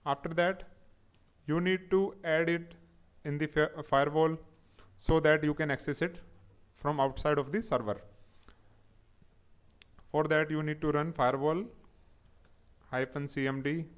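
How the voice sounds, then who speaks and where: A man's voice: a little high, fairly even intonation, unhurried, pauses often, clear, resonant tone. A man giving a step-by-step tech tutorial over a screen recording, methodically spelling out each command. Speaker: instructor.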